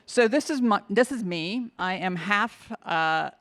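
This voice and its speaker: A woman's voice: medium pitch, lively intonation, unhurried, a bit bright. A woman from Finland, likely in her fifties, giving a conference keynote talk, a little nervous, with many fillers and restarts. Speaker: keynote speaker. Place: conference hall.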